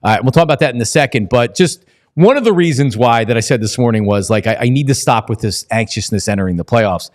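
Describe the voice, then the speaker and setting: A man's voice: medium pitch, animated, fast. A man hosting a casual sports talk show, conversational and opinionated as he interviews a guest.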